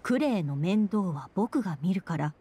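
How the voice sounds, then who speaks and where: A woman's voice: lowish voice, animated, normal speed, mellow, husky. A woman voicing a dutiful young female knight commander in an anime game, formal, composed and polite. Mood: composed, concerned. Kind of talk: Japanese anime game voice acting.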